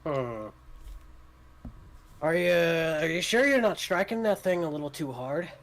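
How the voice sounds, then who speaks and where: A man's voice: a little high, expressive, measured pace, a bit bright, pitched above the performer's own voice. A man playing a nosy, doubting male onlooker in a tabletop game, needling as he talks. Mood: skeptical. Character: nosy, skeptical male onlooker critiquing a craftsman.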